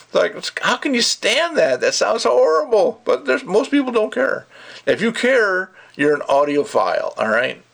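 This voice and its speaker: Gravelly older man ranting casually. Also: sits high, big pitch swings, normal speed, fairly bright tone. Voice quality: gravelly, creaky. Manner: opinionated, rambling, emphatic, acts out mocking quotes. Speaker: audio gear reviewer. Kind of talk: casual ranting video review.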